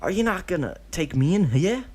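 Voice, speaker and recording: A man voicing an eager, clingy twelve-year-old boy who begs in a high, pleading voice. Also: sing-song, a little fast, darkish tone, exaggerated voice. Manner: high pleading childish voice, tacks on "hmm?".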